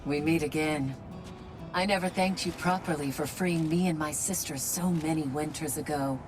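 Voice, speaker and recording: A woman's voice: low-pitched, moderate pace, close to an everyday voice. A woman playing a fiercely loyal elf warrior in video game dialogue, firm and earnest. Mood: earnest, grateful. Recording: music behind the voice.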